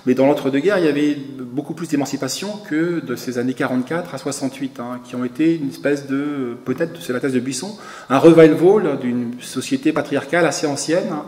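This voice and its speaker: A man's voice: medium pitch, fairly quick, a little mellow. A French man speaking at a conference, lecturing loosely in answer to a question, with many "hein"s and repeated words. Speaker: conference speaker, magazine editor. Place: conference hall. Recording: echoey hall.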